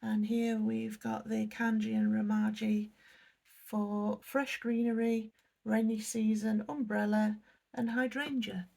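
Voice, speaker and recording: Gravelly-voiced English woman. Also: on the high side, flat delivery, takes it slowly, warm tone. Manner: chatty, apologetic, reads product blurbs aloud. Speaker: unboxing vlogger. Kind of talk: casual chat while unboxing.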